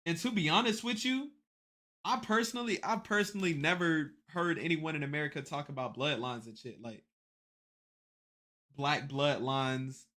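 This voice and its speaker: A young man with a bright, forceful voice talks through his reaction to a video. He rambles, trips over and repeats his words, and drops casual swears. It sounds like a laid-back stream commentary. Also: fairly high pitch, moderate pace.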